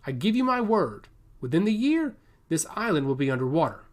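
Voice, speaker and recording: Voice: mid-range voice, sing-song, a little fast, natural voice. A narrator acting a young, arrogant ducal envoy in a fantasy tale. He speaks in imperious, formal pronouncements with cool menace and strongly varied intonation. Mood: cold menace. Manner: imperious, formal, coolly threatening. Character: arrogant young male envoy of a rival duchy.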